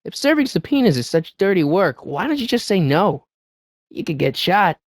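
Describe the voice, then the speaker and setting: A man's voice: high voice, very animated, dry recording, exaggerated voice. A young man with an American accent voicing an earnest, anxious teenage boy in a video game, eager to persuade and often hesitant. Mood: determined. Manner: hesitant, stammering, eager, fast-talking persuader. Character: earnest, anxious teenage boy hero of a time-travel adventure. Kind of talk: video game character dialogue.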